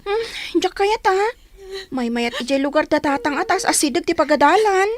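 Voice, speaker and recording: A woman's voice: sits high, expressive, normal speed. A woman with an Ilocano accent playing an outspoken young girl, the older sister, in a radio drama. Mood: teasing, dismissive.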